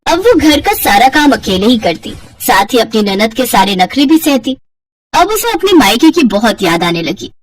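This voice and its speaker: A woman's voice: on the high side, sing-song, normal speed. A woman narrating a scripted moral story as a storyteller.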